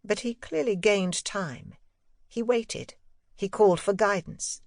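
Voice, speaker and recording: A woman narrating a classic first-person ghost story in a measured, expressive literary style. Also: mid-range voice, creaky, no room sound, studio quality. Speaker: audiobook narrator.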